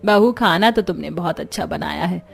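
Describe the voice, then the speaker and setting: A woman's voice: lowish voice, normal speed, vocal fry, husky, faint music behind, exaggerated voice. A woman voicing a proud, bossy, rich middle-aged mother-in-law in a cartoon. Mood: welcoming.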